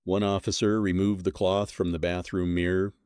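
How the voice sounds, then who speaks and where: A man reading a scripted horror mystery as narrator, in measured, suspenseful phrases that are short and dramatic. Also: low voice, flat delivery, darkish tone, smooth, no echo.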